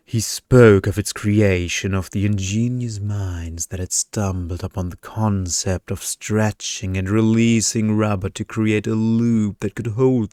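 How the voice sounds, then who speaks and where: A man reading scripted fiction as an ASMR storyteller, with a measured, deliberate delivery. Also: low-pitched, a little mellow, no room sound, clean studio sound. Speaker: story reader, ASMR narrator.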